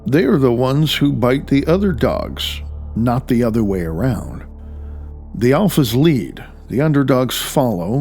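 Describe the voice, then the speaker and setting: Gravelly man reading his own audiobook, slow-paced. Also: medium pitch, darkish tone. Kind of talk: conversational audiobook narration.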